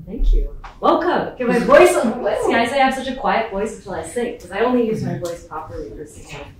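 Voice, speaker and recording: A soft-spoken woman, the guest speaker, giving a talk with a quiet voice in a lecture hall. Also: fairly high pitch, animated, brisk. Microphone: far camera, no mic. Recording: very echoey hall from far off with hiss.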